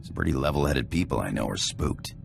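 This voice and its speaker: A man's voice: rumbling low, animated, a little fast, vocal fry, over music, full character voice. A man voicing a tough, roguish space pirate in a video game cutscene, with a laid-back, streetwise manner. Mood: casual, wry. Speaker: pirate companion.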